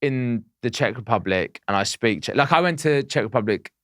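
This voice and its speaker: A man in his forties talks plainly on a podcast with a wry edge. His voice swings up and down as he tells stories, at a middling pitch. The mic sound is close and dry. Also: moderate pace, dry recording. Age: 40s. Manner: blunt, wry, animated anecdotes. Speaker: podcast co-host. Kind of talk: casual chat.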